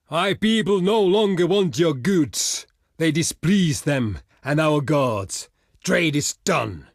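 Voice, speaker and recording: Slow, solemn barbarian envoy. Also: male voice, a little high, no room sound, clean studio sound. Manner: solemn, formal, deliberate archaic oratory. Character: proud, grave male barbarian envoy, blunt and ale-loving. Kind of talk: video game character voice lines, theatrical declamation.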